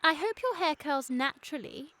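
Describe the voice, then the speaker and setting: A woman's voice: sits high, expressive, moderate pace, bright, forceful tone. A British woman playing a sweet, dreamy young lady in a comic period radio play, speaking in a prim, precise way. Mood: dreamy, coquettish.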